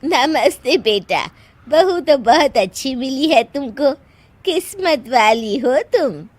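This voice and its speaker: A woman's voice: sits high, lively intonation, slow pace, no room sound, full character voice. A woman voicing an effusive middle-aged aunty, a gossipy family friend in a cartoon story. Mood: admiring. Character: chatty, admiring middle-aged aunty friends of mother-in-law.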